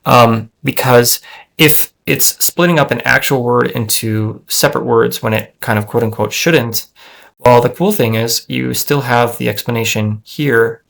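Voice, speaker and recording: A man at his computer walks through a language-learning app he built. He thinks out loud, hedging with ums and uhs and restarting phrases, in an everyday mid-range voice. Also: mid-range voice, a little slow, gravelly. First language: English. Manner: thinking aloud with frequent fillers and restarts. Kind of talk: casual screen-share software demo.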